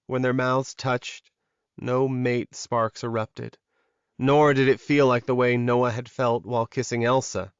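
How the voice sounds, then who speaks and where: A man's voice: medium pitch, flat delivery, a little slow, clear, resonant tone, no room sound, highs cut off. A man narrating a scripted, dramatic fantasy romance audiobook.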